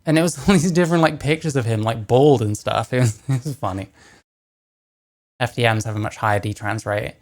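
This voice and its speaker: A woman hosting a reaction video, chatting casually between clips and often trailing off into quiet asides. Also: very deep voice, brisk. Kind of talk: casual commentary over clips.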